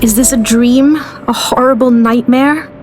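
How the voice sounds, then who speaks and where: A woman's voice: fairly high pitch, steady tone, unhurried, over music. A woman narrator giving dramatic scripted storytelling.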